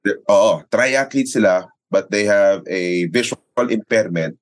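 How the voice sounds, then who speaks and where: A Filipino man with a low voice, recorded close and dry. He tells stories casually as an interview guest, slipping into quoted dialogue and tossing in tag questions as he goes. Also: animated, unhurried, no room sound. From Philippines. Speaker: sports announcer and radio commentator, interview guest. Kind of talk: casual conversational storytelling, mixing Tagalog and English.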